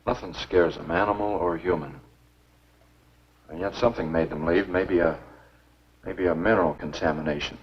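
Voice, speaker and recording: An actor playing a stubborn, principled game warden with a firm, matter-of-fact manner in a 1950s B-movie. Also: male voice, low-pitched, moderate pace, long pauses, slight background noise. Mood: thoughtful. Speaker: film actor. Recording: dull 1950s film soundtrack.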